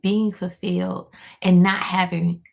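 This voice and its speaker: A hoarse woman, a life coach, giving an encouraging motivational talk to her viewers, repeating key lines for emphasis and calling them y'all. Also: on the low side, little pitch movement, a little slow. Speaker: life coach hosting a weekly motivational show. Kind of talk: motivational pep talk to camera.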